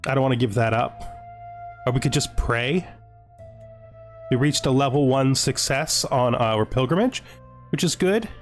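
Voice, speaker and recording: A man giving casual video game commentary, thinking aloud as he deliberates his choices. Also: mid-range voice. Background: music.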